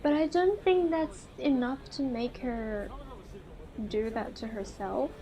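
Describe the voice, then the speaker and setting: A woman's voice: sits high, animated, takes it slowly, warm tone. A woman playing a story game, thinking aloud in a tentative, musing way as she comments. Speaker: video game player reading dialogue aloud. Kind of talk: let's play commentary and dialogue read-aloud.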